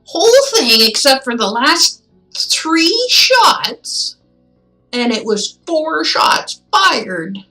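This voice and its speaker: A woman's voice: high voice, sing-song, very slow, bright, forceful tone, soft background music, poor audio. A woman from Canada giving casual, wry and sarcastic commentary as she streams a game.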